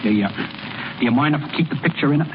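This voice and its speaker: A man acts out a plainspoken American small-town ballplayer in an old radio play. His talk is folksy and slangy, the voice slightly high. The recording is dull and clearly noisy. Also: close to an everyday voice. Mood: shy, miserable. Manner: folksy, slangy, halting when shy. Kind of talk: 1930s-40s American radio acting.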